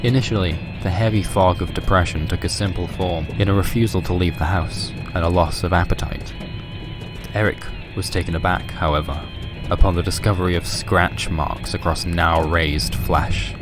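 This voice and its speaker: A man's voice: low voice, fairly even intonation, normal speed, over music. A man narrating a scripted horror story for a fiction podcast.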